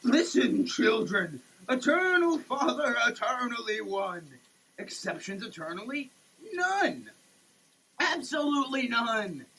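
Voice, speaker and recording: High-pitched theatrical man declaiming slowly. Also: big pitch swings, pushed and bright, slightly rough, low-quality recording. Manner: theatrical, preachy, emphatic pauses. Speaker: sketch performer. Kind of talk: dramatic reading of a soap label, declaimed like a sermon. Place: shower, per the page.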